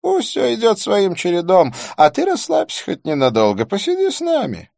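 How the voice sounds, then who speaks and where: Give the audiobook a man performing a worldly bon vivant, a theatrical and loyal friend. His pitch sits well above a plain narrating voice and moves freely. He is exclamatory and philosophical, in a dry, close sound. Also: wildly swooping pitch, moderate pace, creaky, darkish tone, dry recording, heavily acted. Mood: gently earnest. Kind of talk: audiobook character voice.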